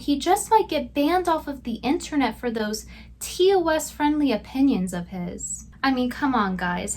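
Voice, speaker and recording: A woman's voice: sits high, a little hiss or hum. A woman giving scripted commentary with sarcastic, mocking asides. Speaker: video commentator.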